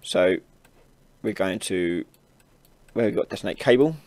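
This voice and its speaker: A man hosting an informal software tutorial, casually explaining each step as he demonstrates it on a close mic. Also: low-pitched, animated, measured pace, no room sound. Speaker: tutorial host. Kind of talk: informal screen-recorded software tutorial narration.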